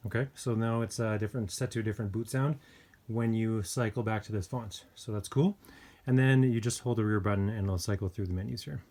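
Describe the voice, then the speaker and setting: A man giving a casual hands-on gadget review, speaking informally and hesitantly with pauses mid-thought. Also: on the low side, steady tone, warm tone. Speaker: product reviewer. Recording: clear background noise with clicks.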